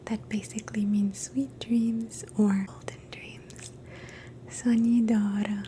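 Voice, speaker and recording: A woman in a soft, gentle, mostly unvoiced whisper, slowly teaching phrases in a relaxing language lesson and repeating each one. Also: medium pitch, little pitch movement, slight background noise. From Canada. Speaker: ASMR host teaching phrases.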